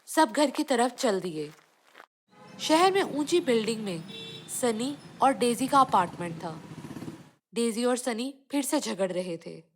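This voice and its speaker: A woman's voice: on the high side, expressive, measured pace, slight background noise. A woman reading scripted narration for a children's cartoon story.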